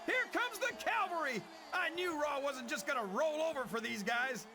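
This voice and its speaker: An actor playing a partisan, melodramatic wrestling announcer calling the action excitedly in a video game. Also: male voice, very high voice, normal speed. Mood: elated. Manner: shouting, alarmed, melodramatic. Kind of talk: excited sports commentary. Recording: game audio with loud music behind.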